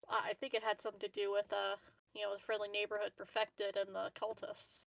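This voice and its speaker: A woman with a medium-pitched voice full of fry talks casually as a player in a roleplaying game. The recording is close and dry. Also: monotone, fairly quick, no echo, poor audio. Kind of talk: casual game table chat.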